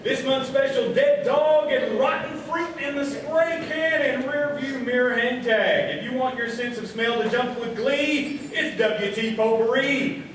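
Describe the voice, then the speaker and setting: Man playing a high-pitched folksy radio host, echoey hall. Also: measured pace. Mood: folksy showman. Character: folksy small-town radio show host, male. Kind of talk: live old-time radio variety show comedy. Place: live show before audience in hall. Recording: echoey hall, distant, noisy live stage.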